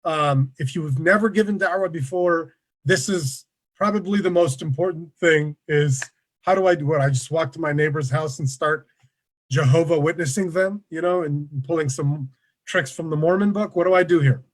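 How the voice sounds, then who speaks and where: A man presenting an online workshop over a video call, relaxed and conversational, with many fillers. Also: fairly high pitch, normal speed. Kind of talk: casual online lecture.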